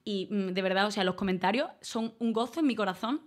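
A woman from Málaga, a streamer and voice-over artist, chatting casually with her viewers, grateful and quick to laugh. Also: mid-range voice. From Málaga, Spain. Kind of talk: casual livestream chat reading donations.

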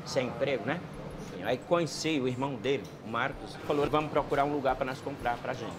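A man's voice: medium pitch, fairly quick, background noise. A man in his fifties with a northeastern Brazilian accent, plainly answering questions as a snack bar owner. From Ceará, northeastern Brazil. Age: 50s. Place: small snack bar, indoors.

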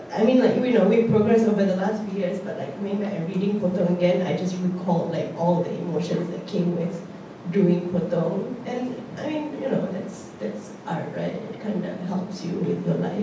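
A woman's voice: medium pitch, very echoey, background noise. Brief: emotional, chatty woman panelist, distant mic in a big theatre. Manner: emotional, chatty, nervous laughs. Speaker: actor on a post-show panel. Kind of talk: casual panel chat. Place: indoor theatre stage.

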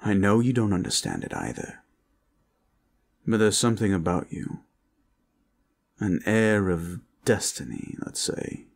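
A man playing a weary, serious and cautious protector in an audio roleplay, speaking in a measured, earnest way. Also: low-pitched, very animated, creaky, husky, full character voice. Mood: calm, earnest.

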